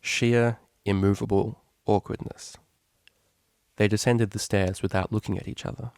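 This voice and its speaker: A man reads a story aloud as its narrator. His voice sits on the low side, and the recording is dry with hardly any room in it. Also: little pitch movement, moderate pace, darkish tone, smooth, no echo. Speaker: fan fiction reader.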